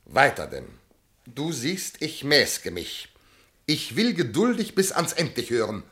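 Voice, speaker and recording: A man's voice: medium pitch, huge pitch swings, measured pace, pushed and bright, exaggerated voice. A man playing an imperious, exasperated master in a classical verse radio comedy, declaiming with indignation and disbelief. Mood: strained patience. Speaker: actor.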